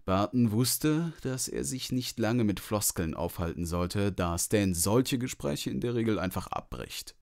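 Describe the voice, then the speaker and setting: A male narrator with a low-pitched voice reads a novel aloud. The delivery is scripted storytelling in the manner of an audiobook. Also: lively intonation, measured pace, vocal fry, few pauses, a little mellow.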